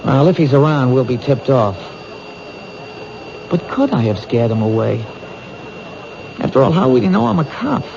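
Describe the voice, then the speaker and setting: An actor playing a dogged police detective in a 1970s radio drama, pressing with urgent questions. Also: male voice, mid-range voice, big pitch swings, normal speed, gravelly, long pauses. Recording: hissy lo-fi old radio broadcast.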